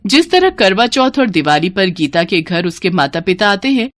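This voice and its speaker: A woman's voice: mid-range voice, sing-song, normal speed, no room sound. A woman narrator telling a scripted moral bedtime story.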